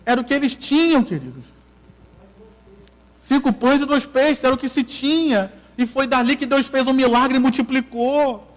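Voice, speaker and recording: A man's voice: very high voice, normal speed, in a large hall. A man from Brazil with a Carioca accent, preaching a sermon as pastor, repeating key lines and questioning the congregation. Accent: Carioca Brazilian Portuguese. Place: church hall.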